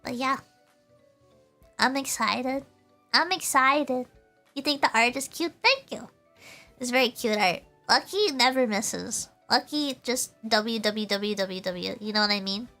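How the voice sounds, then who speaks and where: A woman's voice: on the high side, unhurried, bright, forceful tone, faint music behind. A woman livestreamer chatting casually to her viewers in a bubbly, giggly way, often repeating her phrases. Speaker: VTuber livestreamer.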